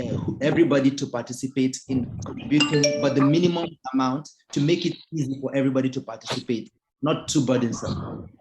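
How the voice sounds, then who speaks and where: A man on a video call answering a question as president, halting at first, then explaining plans. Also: medium pitch. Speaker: organization president. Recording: echoey room with background noise.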